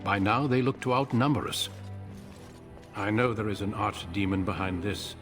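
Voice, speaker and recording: An actor playing a grave, seasoned middle-aged warrior commander in a fantasy game, measured, formal and courteous. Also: male voice, on the low side, faint music behind, close to an everyday voice. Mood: solemn, measured. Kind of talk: fantasy video game acting.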